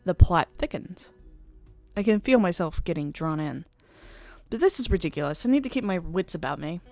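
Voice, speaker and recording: A woman's voice: fairly low pitch, animated, normal speed, a little mellow, no room sound. A woman voicing a prickly female idol superfan in a visual novel, blunt, indignant and sceptical. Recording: music playing behind the voice.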